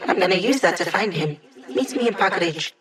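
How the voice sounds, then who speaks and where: Cheeky, breezy young hacker hologram. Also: female voice, on the low side, animated, a little fast, a bit bright. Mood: focused, casual. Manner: breezy, wry, playful, casual. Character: cheeky, brainy female hacker, a digital holographic young woman. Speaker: squad tech support character. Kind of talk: video game voice lines.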